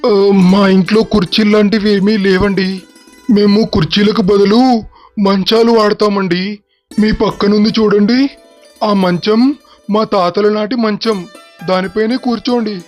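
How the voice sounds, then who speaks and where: Man acting a humble village father, very high-pitched. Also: fairly even intonation, unhurried, soft background music. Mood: humble, polite. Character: humble village cremation-ground keeper, doting older father. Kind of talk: dramatized moral story acting.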